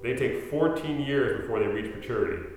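A man acting in a radio play as an earnest middle-aged storyteller full of wonder, with a deliberate, formal delivery. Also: medium pitch, lively intonation, lightly acted. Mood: earnest storytelling. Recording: very echoey hall, music behind.

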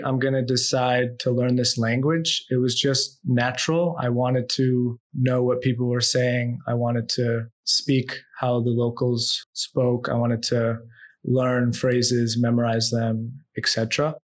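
Relaxed man telling his life story. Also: medium pitch. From United States. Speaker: podcast guest. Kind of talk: storytelling in an interview.

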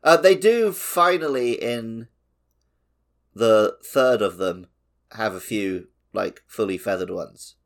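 A man chatting casually as a podcast co-host, joking and getting animated in an informal way. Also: on the low side, takes it slowly. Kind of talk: casual chat.

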